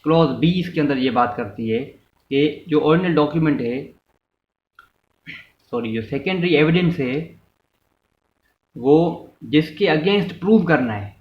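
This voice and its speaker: A man's voice: fairly high pitch, animated, a little slow, pauses often. A man with a Pakistani accent gives an informal explanatory law lesson, repeating and rephrasing his points and slipping into English legal terms. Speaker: law lecturer. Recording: echoey room, muffled, faint noise.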